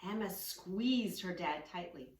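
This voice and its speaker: A woman's voice: lowish voice, animated, a little slow. Woman reading to children, roomy and distant. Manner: encouraging, gentle instructing with frequent praise. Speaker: storyteller and children's yoga teacher. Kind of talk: read-along story with yoga instructions for children. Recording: roomy, echoing, distant microphone.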